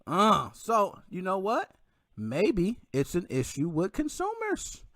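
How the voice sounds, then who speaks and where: A man's voice: high-pitched, wildly swooping pitch, slow, a bit bright, dry recording, clean studio sound. A man co-hosting a casual livestream, full of hype slang, laughing often and asking "you know what I mean".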